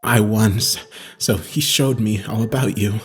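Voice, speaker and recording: A woman narrator acts out a tormented male inmate from a horror story. The voice drops low and is extremely husky and breathy. He speaks quietly and haltingly, like a drugged, grieving father, over faint music. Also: heavily acted. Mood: eerie, furtive. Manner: quiet, halting, whispering delivery.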